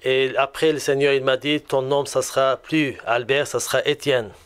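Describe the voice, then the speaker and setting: A man with a Lebanese accent shares his testimony as a guest. His voice is smooth and clear, sitting at an average pitch. He speaks haltingly, often repeating his words as he goes. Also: little pitch movement, a bit bright. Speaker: guest giving a religious testimony. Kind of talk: personal testimony in conversation.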